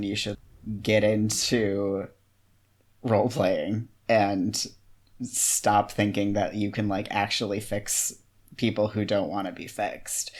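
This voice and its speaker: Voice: medium pitch, darkish tone. A podcast co-host in a casual book discussion, talking in an earnest, opinionated way and saying "like" a lot. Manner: earnest, opinionated, heavy use of "like".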